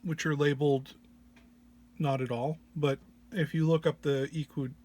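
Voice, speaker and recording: A man with a slightly higher-pitched voice presents an informal walk-through. He speaks slowly and hesitantly, with low energy, sounding a bit under the weather as he explains each step. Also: fairly even intonation, darkish tone. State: under the weather, often clearing throat. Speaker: presenter of a music effects patch walk-through.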